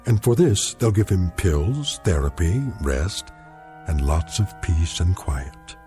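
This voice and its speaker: A sardonic anthology narrator in a radio mystery. His low, dark voice is measured yet expressive, delivering ominous lines with dry irony. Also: soft background music. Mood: wry, ominous. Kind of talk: scripted radio drama narration.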